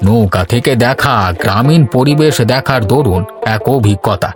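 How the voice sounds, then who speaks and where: Guy doing an older river nomad in a cartoon, thoughtful and measured, music behind him. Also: medium pitch, natural voice. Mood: reflective. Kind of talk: cartoon dialogue acting.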